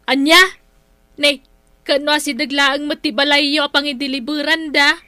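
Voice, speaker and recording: Woman acting a high, bright, curt village creditor. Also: little pitch movement, moderate pace, no room sound, studio quality. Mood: demanding. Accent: Ilocano. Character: curt, demanding village woman who lends money. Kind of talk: comedic radio-style drama acting.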